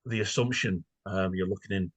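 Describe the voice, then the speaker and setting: A man's voice: deep voice, fairly quick, breathy edge, dry recording. A man hosting a conversational interview, hesitant and roundabout, often repeating words as he forms a question. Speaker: podcast host, interviewer.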